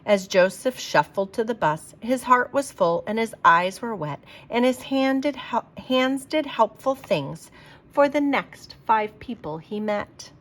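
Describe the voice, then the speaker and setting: A woman reading a children's picture book aloud like a teacher, with expressive, emphatic delivery for young listeners. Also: a little high, measured pace.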